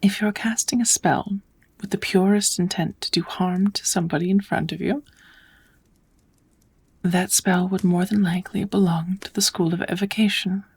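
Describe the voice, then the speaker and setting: A woman voicing a kind, patient witch in a soft, often whispered ASMR roleplay, teaching magic in a gentle, measured, slightly formal way. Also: on the low side, fairly even intonation, slightly rough. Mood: calm, patient.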